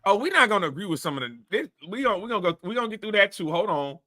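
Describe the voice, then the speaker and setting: Male host, high bright voice, blunt rambling commentary. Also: sing-song, very fast. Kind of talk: casual commentary.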